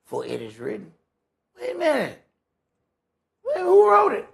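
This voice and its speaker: A man with a gravelly, husky voice leads a Bible study in conversational sermon style, emphatic and folksy, often posing rhetorical questions. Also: extremely high pitch, wildly swooping pitch, measured pace. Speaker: preacher leading a Bible study. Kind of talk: conversational sermon with scripture commentary.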